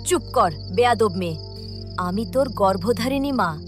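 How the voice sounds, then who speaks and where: A woman's voice: medium pitch, expressive, moderate pace, soft background music, close to an everyday voice. A woman playing a scheming, harsh mother in a cartoon story, scolding sharply. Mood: angry scolding. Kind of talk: villainous cartoon story acting.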